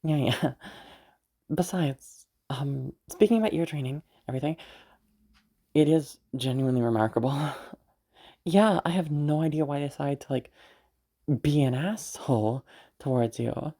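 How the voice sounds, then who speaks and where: A woman playing a flustered, affectionate young noblewoman mage in an intimate roleplay audio drama, speaking haltingly with stammers. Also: low voice, dark tone. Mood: fond, chatty. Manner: halting, stammering, giggly, mild swearing.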